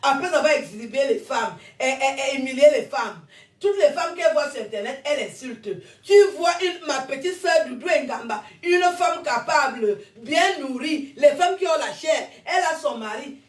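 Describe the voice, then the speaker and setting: A woman's voice: sits high, expressive, moderate pace. A woman in her fifties, born in France, giving a combative, rambling monologue to camera and laughing between her jabs. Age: 50s. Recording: roomy echo, distant from mic.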